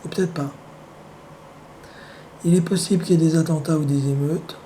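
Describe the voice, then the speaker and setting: Hoarse man with the flu, sardonic. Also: on the high side, steady tone, normal speed, warm tone, slight background noise, rough recording. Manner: sardonic, wry, full of hesitations. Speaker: vlogger. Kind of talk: rambling casual monologue.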